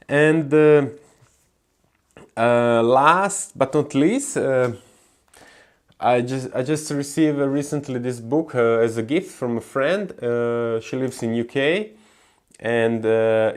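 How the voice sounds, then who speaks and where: A young man from Croatia with a Croatian accent, talking casually to camera about gardening, halting often with fillers and restarts. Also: medium pitch. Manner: hesitant, many fillers and restarts. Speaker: gardening vlogger.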